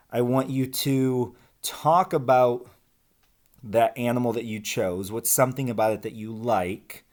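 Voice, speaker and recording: A man teaching young children, reading a picture book expressively and chatting informally with his listeners. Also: medium pitch. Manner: expressive rhyming delivery, chatty asides addressing children. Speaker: elementary school teacher reading aloud.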